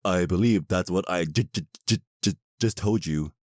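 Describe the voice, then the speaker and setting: A man's voice: low voice, normal speed, darkish tone, dry recording, clean studio sound, close to an everyday voice. A man playing an exasperated, sarcastic vampire husband, centuries old but youthful, in a comedic audio roleplay. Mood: mocking. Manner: sarcastic, complaining.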